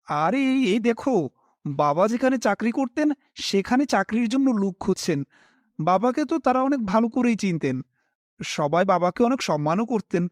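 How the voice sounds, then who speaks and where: A man's voice: high-pitched, lively intonation, moderate pace, dry recording, clean studio sound. A man playing a worried, dutiful family man who tells his own misfortune as a horror story, with dramatic, emotive delivery. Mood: excited, hopeful. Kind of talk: first-person horror story narration with acted dialogue.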